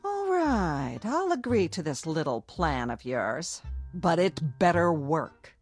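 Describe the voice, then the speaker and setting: An actress playing a sharp-tongued, exasperated middle-aged American wife in an audio drama, sarcastic, biting and bossy. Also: female voice, deep voice, very animated, slow pace, faint music behind, heavily acted. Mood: grudging, bossy. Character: sharp-tongued, scornful, exasperated wife, fussy about her house.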